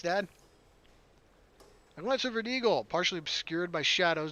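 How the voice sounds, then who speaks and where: A man's voice: a little high, fairly bright tone. A man hosting a gaming video, reading a visual novel aloud expressively and dropping in casual asides.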